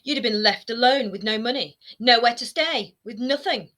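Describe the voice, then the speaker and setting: A woman voicing a shrill, nagging former queen in a storybook reading, her voice high, whining and scolding. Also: moderate pace, lightly acted. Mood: bitter. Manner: shrill and scolding, rising to near shouting. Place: home room lined with bookshelves. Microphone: webcam framing, seated close to camera.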